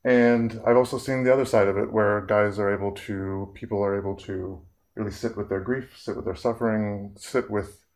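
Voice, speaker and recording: Low, gravelly man giving a talk. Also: fairly even intonation, darkish tone. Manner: earnest, measured, with frequent fillers and pauses. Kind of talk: reflective public talk.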